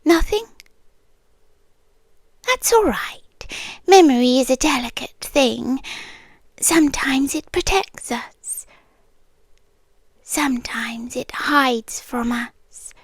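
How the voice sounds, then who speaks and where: A British woman playing an eerily calm, soothing doctor with a hidden possessive streak, in a soft, breathy, whispered ASMR roleplay, close to the mic. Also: high-pitched, takes it slowly, pauses often, dry recording, full character voice. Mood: soothing, clinical. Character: softly menacing, falsely soothing female hospital doctor, possessive girlfriend. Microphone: close, dry ASMR microphone.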